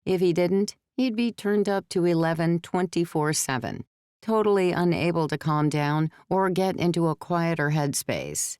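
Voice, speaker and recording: A woman's voice: lowish voice, normal speed, a little mellow, clear, resonant tone, no echo, clean studio sound. A woman reading scripted third-person fiction narration as an audiobook narrator.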